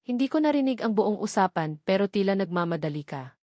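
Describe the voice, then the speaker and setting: A woman's voice: mid-range voice, animated, fairly quick, a little mellow, no room sound, studio quality, lightly acted. A Filipino woman voicing a worried, devoted mother in a story, speaking earnestly and with concern.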